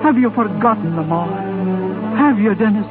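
An actor playing a loyal, excitable Irish rebel sidekick in a 1930s radio drama, earnest and reproachful, with a colloquial brogue. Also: male voice, sits high, moderate pace. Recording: tinny 1930s radio broadcast with music.

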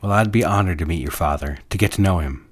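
A man playing a low-voiced, patronizing businessman. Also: quick pace. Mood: affectionate, patronizing. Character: busy, patronizing businessman, impatient yet sweet-talking lover. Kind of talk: period audio drama acting.

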